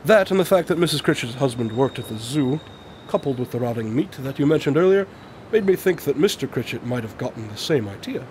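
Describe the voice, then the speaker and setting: A man's voice: medium pitch, animated, fairly quick, darkish tone, a little hiss or hum, close to an everyday voice. An actor as a precise, aloof male detective. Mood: explanatory, assured. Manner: precise, formal, matter-of-fact. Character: brilliant, aloof male detective explaining his deductions. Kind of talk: radio drama acting.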